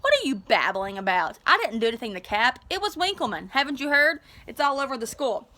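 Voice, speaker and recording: Storybook schoolboy bully, played by a woman, sneering, superior, mocking, bright sharp tone, raised pitch. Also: big pitch swings, a little fast, heavily acted. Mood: defensive, scoffing. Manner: sneering, superior put-down delivery. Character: Zach, sneering, superior eighth-grade boy bully, mocking. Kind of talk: storybook read-aloud.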